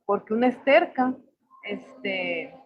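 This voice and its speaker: A woman in her forties from El Salvador, with a Salvadoran accent tinged with Mexican, speaking as a poet on a video call. She talks thoughtfully, searching for words and filling pauses often. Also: mid-range voice, expressive, vocal fry, lo-fi sound. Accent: Salvadoran Spanish mixed with Mexican. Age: 40s. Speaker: poet on a panel. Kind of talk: reflective personal talk.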